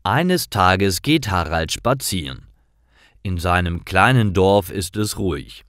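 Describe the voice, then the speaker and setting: A man reading scripted stories for language learners in careful, clearly separated short phrases. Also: low-pitched, expressive, takes it slowly, a bit bright, no room sound, clean studio sound. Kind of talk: scripted story narration for German learners.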